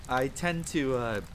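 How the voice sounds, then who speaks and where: An actor playing a friendly, talkative, excitable young spearman in an immersive fantasy roleplay audio drama, with an eager, bantering manner. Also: rough recording. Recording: constant rain and rumble behind voice. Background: rain, campfire ambience, rolling thunder.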